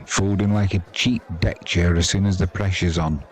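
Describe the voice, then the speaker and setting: A man with a low voice calls cricket live, with a crowd audible behind him. His delivery is chatty and wry, with a teasing edge. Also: steady tone, normal speed. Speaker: live sports commentator. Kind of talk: casual live cricket commentary.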